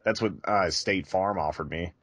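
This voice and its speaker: A man with a low voice talks candidly in casual podcast conversation. The sound is dry and close, and his delivery is conversational and a little self-deprecating. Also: moderate pace, fairly bright tone, no echo. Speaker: podcast co-host.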